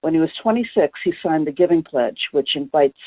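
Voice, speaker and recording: A man's voice: a little high, lively intonation, a little fast, dry recording. Man presenting over a tinny line. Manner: explanatory, with frequent "uh" fillers. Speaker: webinar presenter. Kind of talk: presenting slides in a webinar. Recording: tinny phone-line webinar audio.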